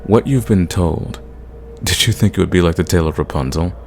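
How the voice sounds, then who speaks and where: A man playing an ancient, sadistic, condescending vampire in an ASMR roleplay, speaking in a theatrical, measured way and drawing out his words. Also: deep voice, slight background noise. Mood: amused, condescending.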